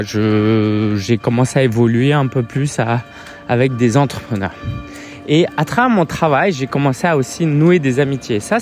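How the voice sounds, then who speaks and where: A man's voice: a little high, darkish tone. A man in his thirties from France with a French accent, talking casually to camera as a coach, hesitant and chatty with lots of fillers. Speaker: business coach. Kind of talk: casual vlog monologue.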